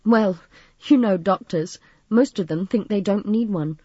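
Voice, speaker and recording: A woman's voice: mid-range voice, a little slow, warm tone, husky, no room sound, lo-fi sound. A woman voicing a worried, polite young man in an audiobook, with a hesitant, earnest manner.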